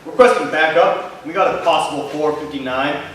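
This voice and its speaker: A man's voice: fairly high pitch, normal speed, fairly bright tone, natural voice. A man playing a stern, by-the-book police officer with formal procedural speech in a staged play reading. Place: theater hall, recorded from the audience. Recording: roomy, distant stage recording with faint noise.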